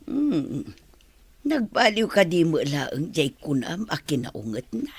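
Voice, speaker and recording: A woman voicing a husky, low ailing mother. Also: very animated, slow, no echo, exaggerated voice. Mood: worried. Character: ailing older mother, anxious and loving. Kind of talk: radio drama acting.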